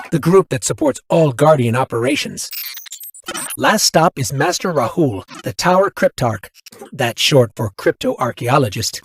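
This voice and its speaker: An actor playing a friendly, upbeat small robot companion, chatty and helpful, guiding a newcomer in scripted game dialogue. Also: male voice, mid-range voice, slight background noise.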